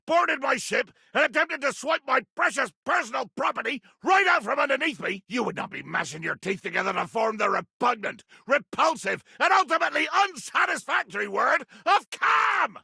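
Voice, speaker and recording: Pompous raspy little pirate, wildly animated. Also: male voice, extremely high pitch, no room sound, clean studio sound, full character voice. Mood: outraged. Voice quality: raspy, gravelly. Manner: bombastic, theatrical, florid archaic phrasing. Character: pompous, diminutive, swashbuckling pirate with hot temper. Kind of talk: video game character acting.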